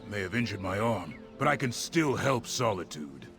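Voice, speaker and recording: A gravelly, blunt guard in a fantasy video game, low-voiced and plain-spoken. Music plays clearly under his lines. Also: big pitch swings, normal speed, a bit bright. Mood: gruff.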